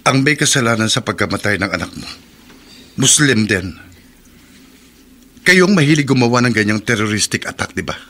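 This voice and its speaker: A man's voice: fairly low pitch, lively intonation, moderate pace, long pauses, pushed and bright. An actor playing an angry, accusing young man with a confrontational manner in a Filipino radio drama.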